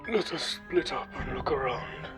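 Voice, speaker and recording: A man plays a careful, commanding male leader in an audio drama. He speaks formally in a medium-pitched voice, over loud background music. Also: lively intonation, moderate pace, close to an everyday voice. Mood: cautious, commanding.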